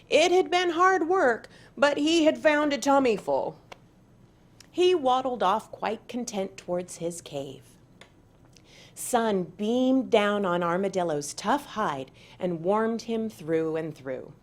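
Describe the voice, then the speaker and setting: A woman reading aloud to children as a storyteller, with deliberate, dramatic emphasis. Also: medium pitch. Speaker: parent and school board member reading aloud.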